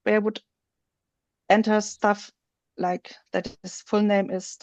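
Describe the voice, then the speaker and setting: A woman presenting on a video call, slowly walking through a software feature while she shows her screen. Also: medium pitch, flat delivery, no room sound. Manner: slow, explanatory, thinks aloud while showing her screen. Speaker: webinar presenter.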